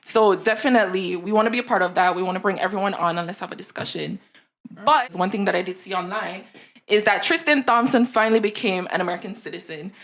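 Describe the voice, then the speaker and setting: A woman's voice: mid-range voice, fast talker, a bit bright. A woman in her twenties with a Jamaican accent, co-hosting a casual podcast chat from a small room studio on a desk mic. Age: 20s. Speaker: podcast co-host.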